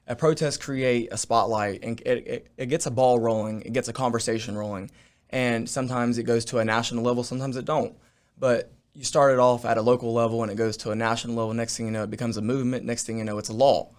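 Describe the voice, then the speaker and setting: A man's voice: mid-range voice, fairly even intonation, quick pace. A young man, a podcast guest, explaining his view earnestly in a casual discussion. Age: young adult.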